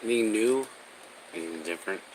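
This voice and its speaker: A man's voice: lowish voice, quick pace, rough recording. A man chatting casually and off-hand, chiming in as a streamer's partner. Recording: faint background noise.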